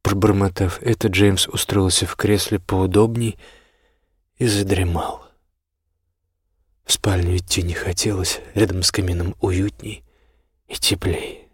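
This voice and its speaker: A man's voice: sits low, little pitch movement, a little slow, long pauses, husky, no echo, clean studio sound. A man reading scripted fiction as the narrator of an audiobook.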